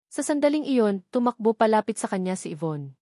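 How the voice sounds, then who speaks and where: A woman's voice: on the high side, sing-song, a little fast, dark tone, dry recording, studio quality. A woman reading a scripted story as an audiobook narrator.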